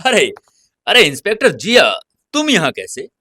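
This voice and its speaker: Man playing young husband, audio serial, wheedling and cheeky, bright forceful voice, close dry sound. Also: high voice, huge pitch swings, normal speed, husky, dry recording, voice pushed up, exaggerated voice. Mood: surprised. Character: young husband, pleading yet cheeky teaser. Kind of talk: audio serial character dialogue.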